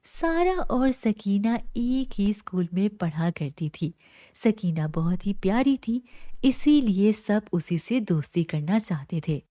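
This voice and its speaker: A woman's voice: mid-range voice, big pitch swings, slow pace, dark tone, no echo, studio quality. A woman storyteller reading scripted narration for a children's story.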